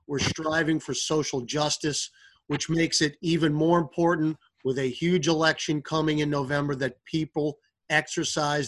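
A man's voice: fairly high pitch, a bit bright. A man hosting a podcast, interviewing guests in a polished sports-broadcaster style.

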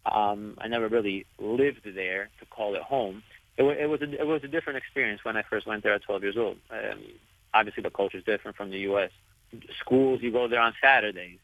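A young man, a soccer player whose first language is Italian, answering interview questions softly while searching for his words. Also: lowish voice. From United States. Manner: soft-spoken, searching for words. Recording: tinny dry phone line.

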